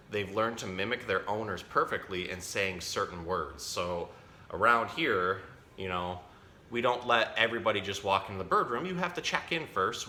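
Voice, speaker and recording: A man's voice: on the low side, pushed and bright. A man giving a casual, chatty educational talk for children as a zookeeper, explaining things simply and correcting himself as he goes. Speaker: zookeeper presenting an animal storytime. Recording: roomy echo, faint background noise.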